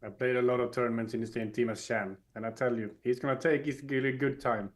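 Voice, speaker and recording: A man whose first language is Swedish, calmly analysing bridge play as a co-commentator. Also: medium pitch, fast. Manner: thoughtful, explanatory.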